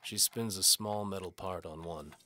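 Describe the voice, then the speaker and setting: A man playing a cynical, world-weary knight-for-hire detective, narrating a hardboiled noir audio drama in a deadpan, wisecracking way. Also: low-pitched, normal speed, slight background noise. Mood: weary, wry.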